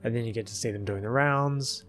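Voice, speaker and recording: A man's voice: medium pitch, a little fast, fairly bright tone. A man giving rambling, casual commentary as a video creator, recorded as a close voice-over with music behind. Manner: rambling, chuckling asides. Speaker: video creator, film editor.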